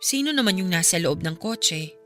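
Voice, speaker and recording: A woman's voice: on the low side, normal speed. A woman acting a concerned, curious young woman who questions someone expressively in a radio drama. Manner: expressive, inquisitive. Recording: music behind the voice.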